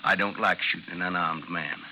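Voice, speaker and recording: An actor in a 1950s radio drama playing a vengeful gentleman duellist, coolly mocking and formal in speech. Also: low voice, fairly even intonation, brisk, muffled recording. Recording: noisy 1950s radio recording, slight hiss.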